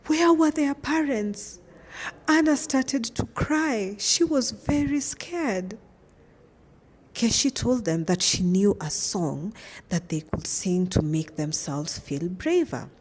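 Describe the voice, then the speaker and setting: A woman's voice: mid-range voice, expressive, takes it slowly, darkish tone, breathy edge. A woman telling a story to young children, with dramatic emphasis and simple, repeated phrasing. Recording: reverberant, hall-like echo.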